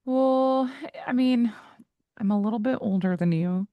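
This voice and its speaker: A woman in her forties, a voice coach and guest in an interview, who talks candidly in quick asides and laughs easily. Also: a little high, no room sound, highs cut off.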